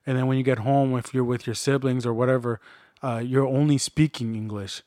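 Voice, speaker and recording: A man's voice: medium pitch, fairly quick, no room sound, studio quality. A young man from Canada, a native Spanish speaker, chatting casually and joking as a podcast co-host. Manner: relaxed, joking, many fillers. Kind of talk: casual chat.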